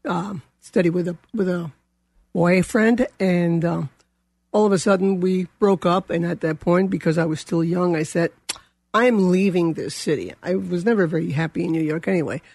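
A woman's voice: lowish voice, normal speed, darkish tone, dry recording. An older woman from Colombia with a Colombian Spanish accent, a guest telling her career story in a rambling way with many fillers. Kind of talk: casual interview.

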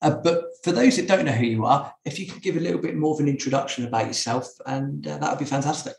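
A man's voice: on the high side, fast, vocal fry, a little mellow. A man hosting a podcast interview in a casual, conversational style. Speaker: podcast host. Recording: echoey, roomy sound.

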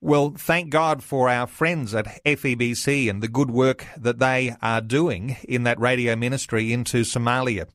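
A man interviews a guest in a dry studio sound. His voice sits at a medium pitch, and he speaks in a measured, reflective radio style. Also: fairly even intonation, a bit bright, dry recording.

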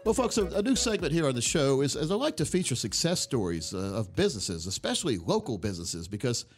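A man's voice: medium pitch, very animated, quick pace, faint music behind. A man hosting a talk radio show, chatting in a folksy way and often repeating his words. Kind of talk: casual chat.

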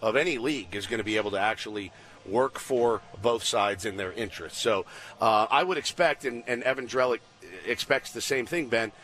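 A man's voice: mid-range voice, brisk, fairly bright tone. Casual male sports radio co-host. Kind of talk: casual live sports talk. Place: live remote broadcast at a baseball spring training site.

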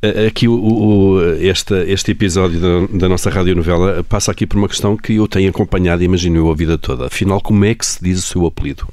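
A man with a very low voice and a European Portuguese accent, sounding close and dry. He puts questions to a guest as a radio interviewer, in an easy conversational style. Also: fairly even intonation, quick pace, hardly any pauses, a little mellow, no room sound. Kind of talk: casual interview chat.

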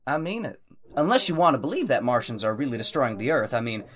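An actor playing a teasing, unflappable young boyfriend in a radio drama, wry and incredulous. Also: male voice, mid-range voice, big pitch swings, fast, a bit bright. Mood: amused, teasing.

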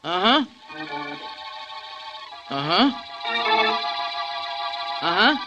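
An actor playing an earnest, streetwise small-time sidekick with an American accent and slangy, ungrammatical speech, in 1940s radio drama style. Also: male voice, sits high, very animated, extremely slow, lo-fi sound, over loud music, heavily acted. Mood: dutiful. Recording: vintage 1940s radio recording.

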